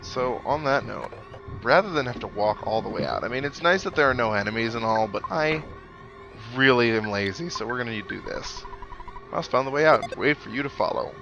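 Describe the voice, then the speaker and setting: A man's voice: mid-range voice, lively intonation, a little fast, vocal fry, lo-fi sound. A man hosting a game playthrough, chatting casually with wry, musing asides and reading on-screen text aloud. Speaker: host of a game playthrough series. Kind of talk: casual let's play commentary, reading game dialogue aloud. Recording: game music behind. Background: video game music.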